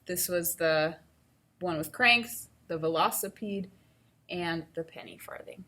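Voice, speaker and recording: A woman teacher giving an instructional read-aloud, explaining and thinking out loud as she goes. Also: fairly low pitch, unhurried.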